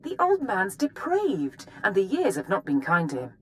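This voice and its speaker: A woman's voice: medium pitch, huge pitch swings, moderate pace, slight background noise. A woman playing a proud, uneasy military captain in a video game, speaking formally and emphatically. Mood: reluctant, disgusted. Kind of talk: video game character dialogue.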